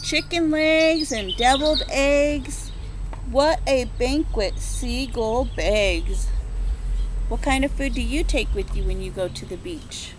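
A preschool teacher in her forties with a high voice reads a rhyming picture book at an unhurried pace. She pauses to ask the children gentle questions. She was recorded close to a phone on an outdoor porch, with faint birdsong behind her. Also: background noise. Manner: slow rhythmic rhyme reading with gentle questions to children. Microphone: phone or laptop camera at close range.

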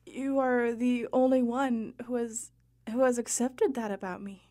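A woman's voice: a little high, fairly even intonation, measured pace, warm tone, natural voice. A woman playing a grieving, guarded heroine of ancient Greece in an audio drama, earnest and hesitant.

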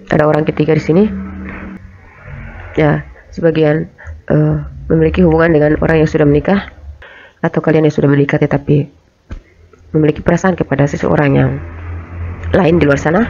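Woman tarot reader with a cold, gravelly, mellow, hesitant, dull lo-fi webcam sound, faint room noise. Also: deep voice. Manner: hesitant, many fillers and restarts. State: coughing, has a cold. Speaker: tarot reader, channel host. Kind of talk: casual tarot reading to camera. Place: small home bedroom.

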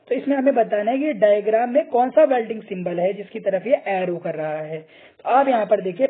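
A man's voice: sits high, slightly rough. A male teacher patiently going through quiz questions and answers, reading each option aloud and mixing in English technical terms. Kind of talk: explaining quiz answers over slides. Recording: tinny phone-like sound.

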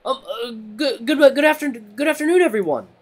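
A man voicing a timid, nervous little male rabbit making announcements in an audio story, halting and stammering. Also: very high voice, sing-song, pitched above the performer's own voice, full character voice.

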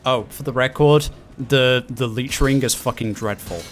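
A man's voice: medium pitch, moderate pace, soft background music. A man chatting casually while playing a co-op video game, teasing in a dry, mock-serious way. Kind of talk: casual gaming chat.

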